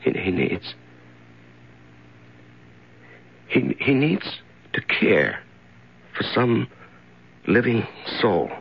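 An actor playing an exhausted, wary prisoner who speaks haltingly and earnestly, with a gravelly, husky voice, in a 1970s radio drama. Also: male voice, mid-range voice, big pitch swings, full character voice. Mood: weary, earnest. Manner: halting, stammering. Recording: dull 1970s radio recording.

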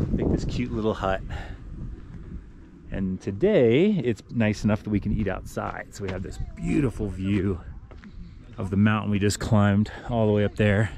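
A man's voice: fairly low pitch, creaky, background noise. A man in his forties casually narrating a hike to an action camera held at arm's length outdoors. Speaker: vlogger on an adventure racing team. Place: outdoors on a windy mountain trail, sometimes inside a small shelter.

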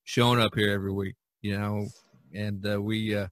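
A man hosting a podcast in casual chat, talking in a halting, conversational way. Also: lowish voice, little pitch movement, fairly bright tone. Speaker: podcast host. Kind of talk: casual chat about topics from a list.

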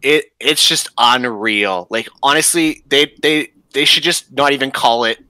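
A young man co-hosting a gaming podcast, talking with animated enthusiasm in casual group chat. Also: medium pitch, measured pace, no echo. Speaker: podcast co-host.

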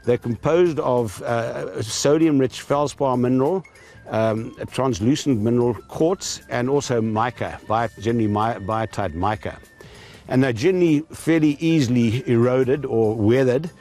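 A man's voice: mid-range voice, normal speed, darkish tone, faint music behind. An older man, a geologist, speaking outdoors in a precise, technical way with some restarts. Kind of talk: explanatory field talk. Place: outdoors in dry bush.

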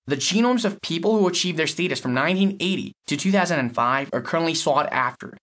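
A man acts out a cynical male lab technician for an audio short story. His voice sits a little high. He explains things bluntly and matter-of-factly, with a sardonic edge. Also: a bit bright.

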